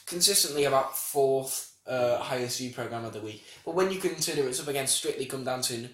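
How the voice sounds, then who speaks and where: A man's voice: mid-range voice, a little fast. Rambling nasal man, echoey room. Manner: rambling, self-interrupting, laughs often. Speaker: podcast co-host. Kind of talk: casual chat.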